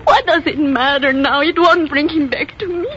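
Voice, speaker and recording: A woman's voice: sits high, normal speed, a bit bright, slight background noise. An actress playing a passionate young Latin American woman with a Spanish accent in a 1950s radio drama. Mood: grieving. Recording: muffled old radio recording, music behind.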